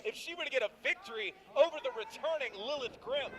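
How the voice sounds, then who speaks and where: A man's voice: extremely high pitch, lively intonation, quick pace, rough recording. An American man calling live wrestling play-by-play in an emphatic, dramatic announcer delivery. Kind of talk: live excited sports commentary. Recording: loud music behind, live event. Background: loud music at a live wrestling event.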